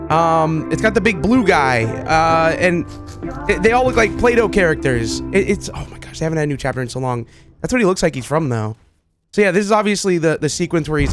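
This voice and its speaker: A man hosting a reaction video, talking casually to viewers with excited, repeated exclamations. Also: a little high. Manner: casual, repetitive exclamations, addresses viewers familiarly. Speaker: video host reacting to game clips.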